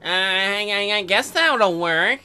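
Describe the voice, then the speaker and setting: A performer playing meek young husband, visual novel character, hesitant stammer, apologetic, bright tone, faint music. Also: medium pitch, animated, slow, clear, resonant tone, full character voice.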